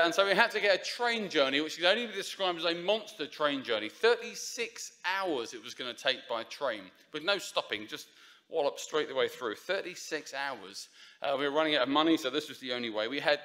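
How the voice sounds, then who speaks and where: A man's voice: on the high side, animated, a little fast, sharp tone. A man, preaching an informal, chatty sermon at a church lectern, with many fillers and restarts. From Essex, England. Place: church sanctuary. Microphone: lectern microphone.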